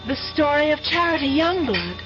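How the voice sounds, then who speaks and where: An actress playing an earnest, haunted young college student in a 1970s-style radio drama, hesitant and breathless with trailing pauses. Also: female voice, high-pitched, sing-song, over music. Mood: anxious, obsessed. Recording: muffled old radio broadcast recording.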